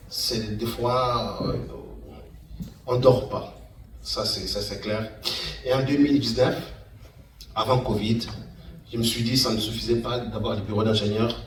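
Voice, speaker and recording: A middle-aged man gives an informal talk as an entrepreneur, in a halting way, repeating words for emphasis. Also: medium pitch, a bit bright. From Democratic Republic of the Congo, Kananga. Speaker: guest speaker, engineer and entrepreneur. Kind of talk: informal public talk recounting a career path. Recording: echoey room, distant mic, faint noise.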